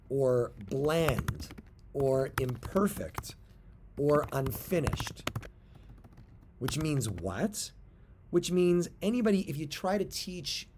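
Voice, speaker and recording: Middle aged guy teaching a religious studies class, leans on key words, stops and rewords stuff, medium pitch. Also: a little mellow. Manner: deliberate emphasis on key words, pausing and rephrasing. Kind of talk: explanatory video lesson, reading and translating texts.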